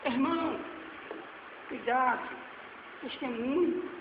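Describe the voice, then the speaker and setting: Forties male preacher, Brazilian accent, fervent then hushed, recorded roomy and far with faint hiss. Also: very high-pitched, takes it slowly. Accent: Brazilian Portuguese. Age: 40s. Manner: swings between loud exhortation and quiet asides. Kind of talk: sermon with slides. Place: church hall. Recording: echoey hall, dull lo-fi sound, faint noise.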